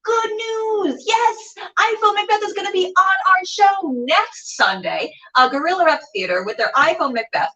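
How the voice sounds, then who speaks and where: A woman's voice: very high-pitched, lively intonation, normal speed, few pauses, bright, forceful tone, far from the mic. A bubbly, theatrical woman chatting casually as she hosts a livestreamed show. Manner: bubbly, theatrical, self-mocking. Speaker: web show host. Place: indoor room with a door. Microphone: camera on a tripod, a little distant.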